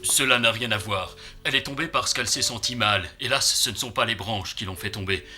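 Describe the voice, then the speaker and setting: Low, forceful, grave doctor. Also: male voice, faint music behind. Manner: solemn, measured explaining. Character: grave, caring country doctor. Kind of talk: dubbed children's cartoon acting.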